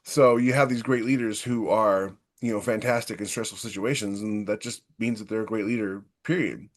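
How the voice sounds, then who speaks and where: A man's voice: on the low side, fairly even intonation, normal speed. A man co-hosting a podcast, explaining his views in casual, conversational discussion. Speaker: podcast co-host.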